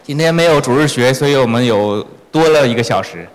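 The man standing beside a preacher in a big echoing church, relaying each phrase to the congregation in a mid-pitched voice. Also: lively intonation, brisk. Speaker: church sermon interpreter. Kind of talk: consecutive interpreting of a sermon. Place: large reverberant church hall. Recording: echoey hall with long reverb.

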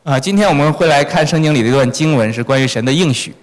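A man's voice: fairly high pitch, racing. A man interpreting a sermon phrase by phrase in a church. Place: large reverberant church hall. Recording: echoey hall with long reverb.

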